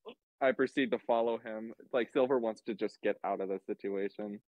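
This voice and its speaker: A man's voice: fairly low pitch, fairly even intonation, brisk. A man chatting casually as a player in an online roleplay over a voice call. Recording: dull, lo-fi voice call.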